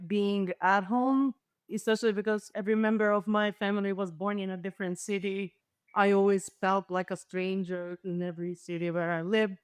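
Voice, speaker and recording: A woman's voice: medium pitch, smooth, no echo, clean studio sound. A woman with a Balkan accent, a native Serbo-Croatian speaker, talking as a thoughtful, hesitant researcher in a panel discussion. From former Yugoslavia. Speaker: panel guest, literature researcher.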